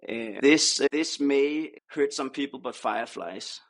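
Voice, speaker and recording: A man's voice: medium pitch, measured pace. A man with a Danish accent, a native Danish speaker, chatting casually as an interview guest. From Denmark. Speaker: podcast guest, startup co-founder.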